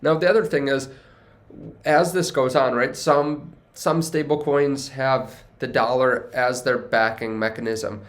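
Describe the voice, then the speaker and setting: A man's voice: mid-range voice, steady tone, a little slow. A young man with a congested, stuffy voice, talking casually to camera as a finance video host, rambling and correcting himself as he goes. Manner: rambling, self-correcting, upbeat. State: congested from a cold.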